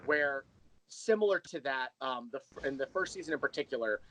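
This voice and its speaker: A man's voice: medium pitch, expressive, fairly quick, fairly bright tone, poor audio. A man speaking as an interview guest, explaining things in detail in a conversational way. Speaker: interview guest, audio drama creator.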